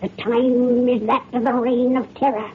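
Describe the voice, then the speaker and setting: A woman's voice: fairly high pitch, level tone, lightly acted. A woman playing a gleefully sinister, cackling hundred-year-old witch who tells tales in a cracked voice and rustic old dialect, in 1930s radio horror style. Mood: ominous. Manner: rustic archaic dialect, slow and gloating. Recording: noisy, tinny old radio recording.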